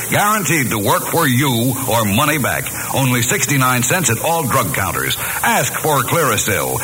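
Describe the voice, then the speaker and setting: A man's voice: sharp tone, low-quality recording. An American man reading a scripted 1950s radio commercial as an emphatic announcer. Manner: emphatic sales pitch. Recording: hissy 1950s AM radio recording.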